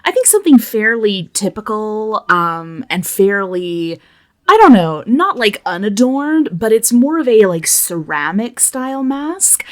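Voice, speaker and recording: A woman's voice: mid-range voice, very animated, measured pace. A woman casually describing things as a player in an improvised roleplay game, feeling her way tentatively through the details. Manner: tentative, peppered with "like".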